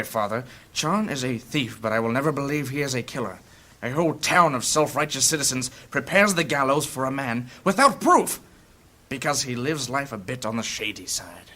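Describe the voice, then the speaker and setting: A man's voice: mid-range voice, lively intonation, normal speed. A man voicing a plain-spoken, loyal and earnest adventurer in a fantasy audio drama. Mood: indignant, defiant. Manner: forceful, earnest.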